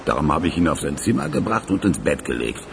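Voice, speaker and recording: Radio crime drama: callous gang killer, low and animated, defending his dirty work over outdoor noise. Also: quick pace, vocal fry, heavily acted. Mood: callous reporting. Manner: defensive, matter-of-fact about killing. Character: cocky, callous male killer in a criminal gang.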